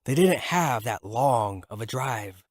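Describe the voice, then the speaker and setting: Man, scripted story narration, middle pitch, husky, close dry recording. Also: lively intonation, slow, no echo.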